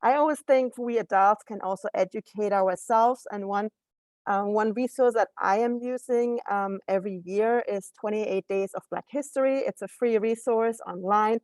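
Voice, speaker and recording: A woman with a German accent speaking earnestly at a meeting over a video call, often pausing to gather her words. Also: medium pitch, a little mellow, no echo. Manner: earnest, with frequent hesitations. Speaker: council member. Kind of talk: meeting remarks.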